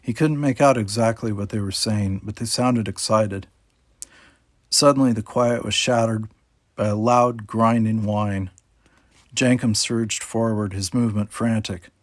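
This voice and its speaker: A man's voice: on the low side, steady tone, unhurried, no room sound, studio quality. A man reading a children's adventure story aloud as scripted narration. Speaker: audiobook reader.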